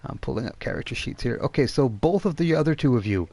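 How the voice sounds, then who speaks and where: A man acting as game master on a live stream, narrating informally and haltingly as he thinks aloud. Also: mid-range voice, animated, creaky, mellow, slightly rough. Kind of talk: narrating a live online tabletop role-playing game. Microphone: voice chat microphone on a live stream.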